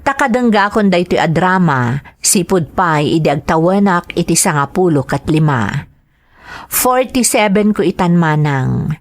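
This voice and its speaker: A woman with an Ilocano accent hosting a radio show, reading scripted material aloud with drawn-out phrase endings. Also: on the low side, expressive. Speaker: radio drama host reading greetings and a listener's letter.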